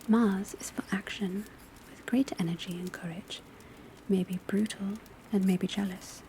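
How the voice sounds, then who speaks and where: Soft whispering woman, mellow ASMR lecturer. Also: medium pitch. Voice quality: soft, breathy, mostly whispered. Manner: measured, deliberate, instructive recitation. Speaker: ASMR performer playing an astrology class teacher. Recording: steady ambient noise of rain and fireplace. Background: crackling fireplace, rain on rooftop, pencil writing.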